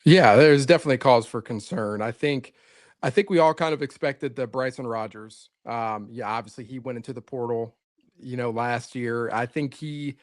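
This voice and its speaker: A man's voice: medium pitch, normal speed, no echo. Male co-host, earnest and rambling, casual sports talk, dry close mic. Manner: earnest, rambling, repeats words, says "man" often. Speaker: co-host, coach.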